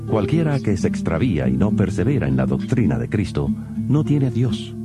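Deep-voiced man reading scripture solemnly. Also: big pitch swings, normal speed. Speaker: Bible reader. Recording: guitar music under the voice. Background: plucked guitar music.

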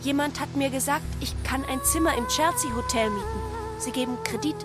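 A naive female AI speaks in a high voice, earnest and inquisitive. She takes things literally and repeats herself. It is radio drama, with loud music underneath. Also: big pitch swings, brisk. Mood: curious.

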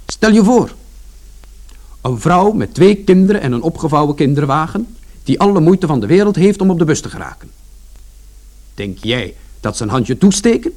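A man's voice: a little high, big pitch swings, moderate pace, long pauses, darkish tone. An actor playing an indignant, long-winded man who explains his side of events as narrator of a radio play. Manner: aggrieved, explanatory, colloquial.

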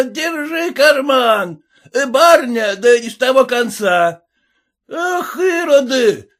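Shrill, jeering drunken peasant. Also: male voice, full character voice. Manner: cursing, jeering, rustic colloquial speech, drawn-out shouts. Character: loud, abusive, drunken red-faced peasant cart driver, scornful.

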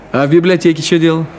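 Male actor for middle-aged city driver in a radio play: brisk, bantering, bossy, hospitable, with traffic noise behind him. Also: on the high side, close to an everyday voice. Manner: bantering, chatty, bossy, colloquial. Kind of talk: naturalistic 1970s Soviet radio play acting. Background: car and street traffic ambience.

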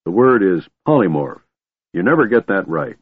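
A man's voice: deep voice, very animated, moderate pace, husky, narrow, tinny audio, full character voice. A man voicing a gloomy, peevish old gold dragon in an audiobook, grumbling and weary. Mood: peevish, indignant.

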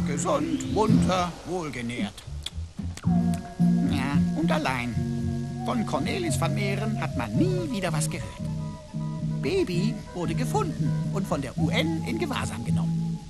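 An actor playing a flippant, theatrical computer servant with a mock-humble manner in a radio play. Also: male voice, high-pitched, wildly swooping pitch, measured pace, over loud music, full character voice. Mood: jaunty. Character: cheeky, theatrical computer sidekick, put-upon servant.

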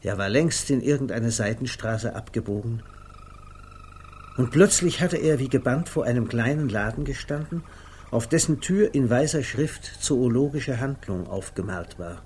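A man narrating a literary 1950s radio play in a measured, deliberate, storytelling way. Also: mid-range voice, expressive, darkish tone. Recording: faintly noisy vintage radio recording.

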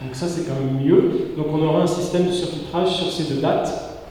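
A man's voice: fairly high pitch, fairly even intonation, moderate pace, dark tone, slight background noise. Man speaking in an echoey hall. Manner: hesitant, searching for words with fillers. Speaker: theatre director presenting a season. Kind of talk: public presentation to an audience. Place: large hall before an assembly. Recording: echoey hall, picked up from far off.

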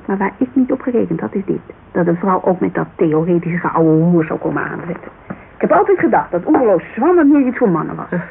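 Radio drama role: headstrong, insistent woman, exasperated and plain-spoken, creaky edge, slight hiss. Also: fairly low pitch. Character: headstrong, blunt businesswoman client pressing a detective. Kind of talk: Dutch radio drama acting, conversational. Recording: narrow, noisy, creaky-sounding old radio broadcast.

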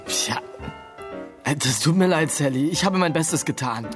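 An actor in a radio drama playing a polite, hesitant young writer, halting and understated. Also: male voice, a little high, lively intonation, over music.

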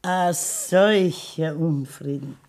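A woman's voice: sits low, extremely slow, a little mellow. An actress playing an anxious, practical grandmother on a farm, with a Bavarian accent, plain-spoken and pleading in a radio play. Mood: worried, pleading. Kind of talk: 1970s German radio play acting.

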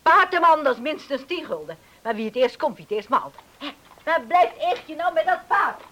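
An actress playing a brisk, bossy farm mother from the coast, in an urgent, commanding radio drama style. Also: sits high, sing-song. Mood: bustling, bossy. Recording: noisy old radio play recording.

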